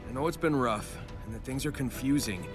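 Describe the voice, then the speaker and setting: A man's voice: medium pitch, fairly quick, lightly acted. Guy as a breezy determined young hero critter in a video game, mid voice, music under it. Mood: determined, earnest. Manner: cocky, breezy, earnest. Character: confident, determined young male hedgehog hero. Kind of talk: video game cutscene acting.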